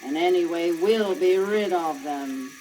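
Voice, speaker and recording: A woman's voice: on the low side, measured pace, dark tone, close to an everyday voice. A woman acts out a selfish, scheming woodcutter's wife for a children's storybook record. She is theatrical and full of scorn, hammering her points home. The old recording is slightly noisy. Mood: cold scheming.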